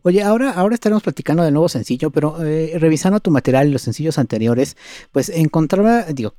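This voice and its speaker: A male interviewer asks measured, formal questions with the odd filler. His voice is a little high but mellow in tone. The sound is dry and close. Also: no room sound.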